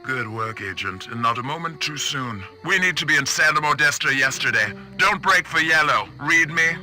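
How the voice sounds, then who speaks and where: A man playing a brisk spymaster who gives curt, urgent orders in a video game cutscene. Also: on the high side, lively intonation, sharp tone, faint music behind. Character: brisk, clipped male spymaster giving orders.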